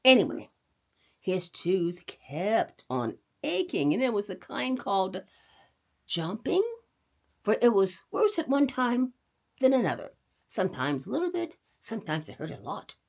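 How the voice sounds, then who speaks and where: A woman storyteller hosting a read-aloud for children, talking directly to her young listeners in an expressive, animated way. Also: medium pitch, a little slow.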